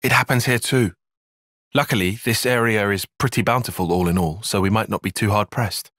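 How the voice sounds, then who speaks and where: A man's voice: on the low side, a little fast, a bit bright, dry recording, clean studio sound, lightly acted. A man with a British accent playing an earnest, analytical young soldier in a video game, speaking in formal, precise phrases. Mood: measured, serious. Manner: formal, precise, bookish wording. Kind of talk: video game cutscene acting.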